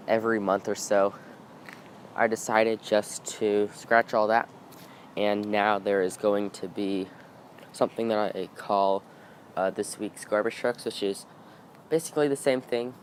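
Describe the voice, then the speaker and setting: A man's voice: lowish voice, fairly even intonation, measured pace, pauses often, a little hiss or hum. A man hosting his own video channel, talking in a chatty, rambling way, his voice a little off after being sick. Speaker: channel host. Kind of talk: casual vlog talk to camera. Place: outdoors by a street in the early morning.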